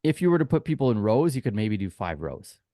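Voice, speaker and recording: A man's voice: mid-range voice, big pitch swings, quick pace, warm tone, dry recording, clean studio sound. A man co-hosting a casual sports podcast, telling anecdotes in a chatty, informal way and acting out exchanges. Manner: chatty, informal storyteller who acts out quoted exchanges. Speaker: podcast co-host and tour guide.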